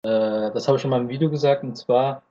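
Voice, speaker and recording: A man's voice: medium pitch, fairly even intonation, brisk, mellow, lo-fi sound. A nineteen-year-old man from Thailand, a podcast guest chatting casually and hesitantly, with plenty of fillers. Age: teens. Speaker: podcast guest, anime YouTuber.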